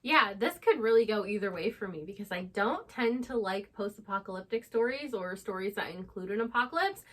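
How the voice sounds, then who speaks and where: Female co-host, medium pitch, conversational and unscripted book talk. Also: animated, a little fast, hardly any pauses. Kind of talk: casual unscripted book chat.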